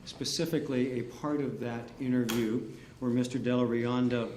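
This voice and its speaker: Male attorney, mid-pitch, deliberate leading questions, roomy courtroom, light hiss. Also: steady tone, a little mellow. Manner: methodical, leading yes-or-no questions. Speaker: defense attorney. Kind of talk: courtroom cross-examination. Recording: echoey courtroom, faint hiss.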